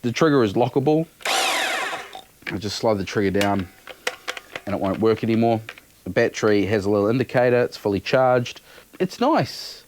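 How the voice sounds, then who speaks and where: A man's voice: medium pitch, wildly swooping pitch, normal speed, pauses often, a little hiss or hum. A man giving a casual, unscripted product review in an offhand, matter-of-fact way.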